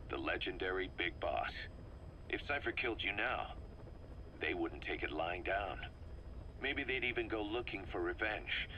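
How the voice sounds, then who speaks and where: A man's voice: dull audio, natural voice. An actor voicing a fervent, embittered mercenary second-in-command in a video game, briefing his boss in an emphatic, persuasive way. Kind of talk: video game dramatic dialogue. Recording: noisy cassette briefing with background rumble.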